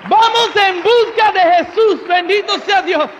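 A man preaching a charismatic sermon, fervent and rising to shouted refrains, with joking asides and soft laughs. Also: very high voice, measured pace, a faint crowd, slight background noise. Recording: reverberant hall, dull lo-fi sound.

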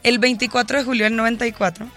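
A woman's voice: fairly high pitch, fast, faint music behind. Young Colombian woman with a coastal lilt. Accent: Colombian Caribbean coast. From Bogotá, Colombia. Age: 20s. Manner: earnest, leads prayer in a soft steady cadence. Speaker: guest giving testimony. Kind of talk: casual chat and spoken prayer.

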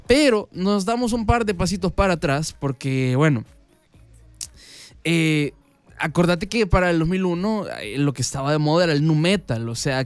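A man's voice: on the high side, smooth, dry recording. A man with a Latin American Spanish accent hosts a radio show, telling stories in a casual, chatty monologue and repeating words for emphasis. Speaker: radio music show host. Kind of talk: casual conversational monologue, storytelling to the audience. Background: music.

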